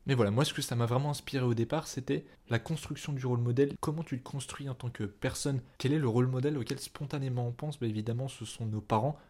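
Young adult male podcast host, medium pitch, conversational and informal, digressive delivery that often breaks off mid-thought. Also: fairly even intonation, quick pace, few pauses, darkish tone. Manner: informal, chatty, digressive, self-interrupting. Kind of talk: casual solo podcast monologue.

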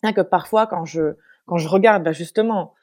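A young woman from France speaks as an interview guest in a relaxed, conversational way. Her voice is low-pitched and mellow, and she thinks aloud, often hesitating and restarting her sentences. The recording is dry and close. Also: normal speed, no room sound. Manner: reflective, many hesitations and restarts.

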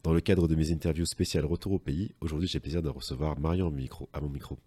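A man's voice: a bass voice, fairly even intonation, quick pace, dark tone, slightly rough. A young man from Martinique hosting a podcast interview, asking questions in a friendly way with some hesitations. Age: young adult. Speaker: podcast host.

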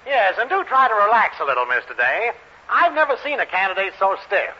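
A breezy male reporter with a wry, animated delivery. The 1940s radio comedy sound is muffled and clearly noisy. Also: high voice. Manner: brisk, wisecracking. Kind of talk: 1940s radio sitcom acting.

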